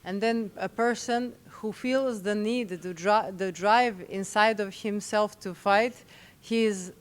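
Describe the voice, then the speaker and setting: A woman's voice: medium pitch, steady tone, slow. A woman interviewing a guest, asking curious, probing questions.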